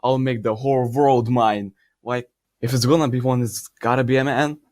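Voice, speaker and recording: A man's voice: mid-range voice, steady tone, moderate pace, darkish tone, no room sound, clean studio sound, natural voice. A man playing a brash, selfish peasant, reading questions and answering in cocky, blunt slang. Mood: boastful. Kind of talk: in-character answers to read-out questions.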